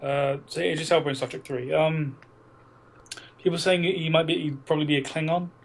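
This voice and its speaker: A young man co-hosting a casual film podcast, chatting in a halting way and repeating words as he goes. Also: fairly high pitch, fairly even intonation, vocal fry. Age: young adult. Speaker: podcast co-host. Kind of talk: casual chat about films. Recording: noisy amateur podcast recording.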